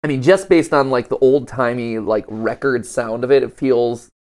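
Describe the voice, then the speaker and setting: A man's voice: mid-range voice, moderate pace, a little mellow. A man hosting a show in a casual, conversational way, talking through theories and reactions. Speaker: host.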